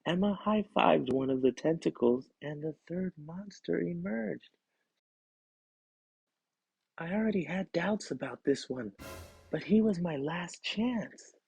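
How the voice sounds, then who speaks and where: A man reading a children's story aloud in an expressive storytelling style. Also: fairly high pitch, takes it slowly, vocal fry, long pauses, husky, no echo, dull audio. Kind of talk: expressive storybook narration, first person.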